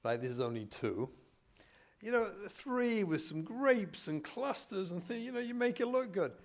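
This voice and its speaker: English-raised rabbi, lively storytelling talk. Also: male voice, high-pitched, normal speed, gravelly. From England. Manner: storytelling with mock indignant asides and jokes. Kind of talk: humorous lecture to an audience. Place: community hall room.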